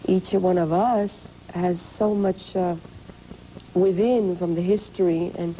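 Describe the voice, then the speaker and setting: A woman's voice: lowish voice, fairly even intonation, takes it slowly, mellow, a little hiss or hum, poor audio. A woman co-hosting an interview, asking questions hesitantly and searching for words. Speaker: co-host.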